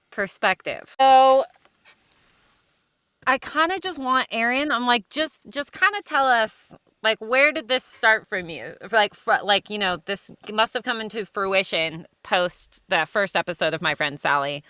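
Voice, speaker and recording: A woman's voice: a little high, animated, a little slow, bright, forceful tone, clear, resonant tone, no echo. A woman hosting a casual podcast chat with playful, teasing banter, often checking in with "right?" and "you know". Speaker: podcast host.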